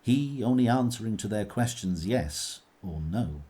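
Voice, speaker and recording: Deep-voiced male storyteller. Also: expressive, unhurried, mellow, breathy edge. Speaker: narrator. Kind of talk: scripted storytelling narration.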